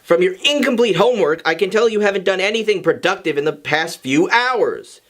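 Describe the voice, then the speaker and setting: A man's voice: high-pitched, animated, brisk, a bit bright. A man voicing an icy, haughty teenage heiress in a story, speaking with scolding reproach.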